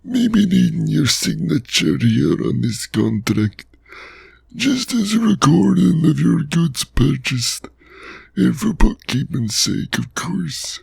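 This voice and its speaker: A man's voice: fairly high pitch, sing-song, takes it slowly, vocal fry, darkish tone, breathy edge, no echo, pitched above the performer's own voice, full character voice. A man voicing a chatty rustic male shopkeeper with a jovial salesman's patter and homely dialect. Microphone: close ASMR microphone.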